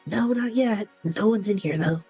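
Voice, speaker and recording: A woman's voice: medium pitch, normal speed, mellow, breathy edge, faint music behind. A young woman playing an easygoing, impulsive student with a playful, dry manner in a naturalistic audio drama. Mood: casual.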